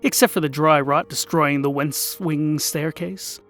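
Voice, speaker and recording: A man's voice: on the high side, fairly even intonation, a little slow, a bit bright. A man narrating a scripted comic fantasy tale in a theatrical, mock-epic manner with wry asides. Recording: voice over music. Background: music.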